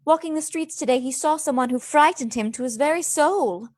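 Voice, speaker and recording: A woman's voice: high-pitched, a little fast, darkish tone, dry recording, clean studio sound, lightly acted. A woman playing an anxious, caring young wife who narrates her journal in a radio play. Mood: worried.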